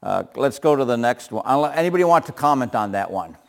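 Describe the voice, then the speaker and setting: A man's voice: medium pitch, animated, fast talker, creaky. Chuckling man leading a Bible study. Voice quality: loud voice. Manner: chuckles often, asks the group questions. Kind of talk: group Bible study discussion.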